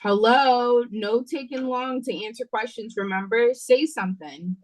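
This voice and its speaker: A woman's voice: fairly high pitch, unhurried, close to an everyday voice, poor audio. A woman playing a bossy, impatient, sarcastic waitress in an audio play read-through over a video call. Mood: impatient, probing.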